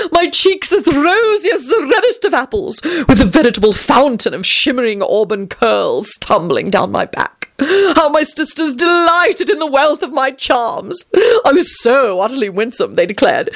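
Lady voicing a vain dramatic vampire guy, slow grand preening talk, voice going all over the place. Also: high voice, pitched above the performer's own voice, full character voice. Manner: grandiose, drawn-out, preening delivery. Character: pompous theatrical male vampire, self-adoring show-off.

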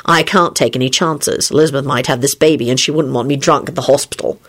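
A woman's voice: extremely low pitch, fast, slightly rough, voice pushed down, exaggerated voice. A woman voicing a grouchy, sarcastic, exasperated man with a dry, snarling, resigned manner in a storybook reading. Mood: irritable, resigned.

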